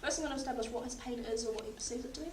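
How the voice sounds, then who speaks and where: A young woman in a paramedic practical exam, calmly talking a patient through each step while losing her voice. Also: a little high, very fast. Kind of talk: narrating a clinical skills demonstration. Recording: echoey, distant, noisy room.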